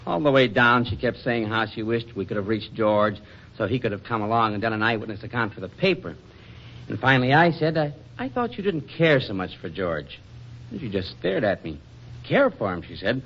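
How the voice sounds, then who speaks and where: An actor playing an affectionate, teasing, excitable husband in a 1940s radio comedy, with a folksy manner. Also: male voice, mid-range voice. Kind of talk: 1940s radio drama acting. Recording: dull vintage radio drama recording.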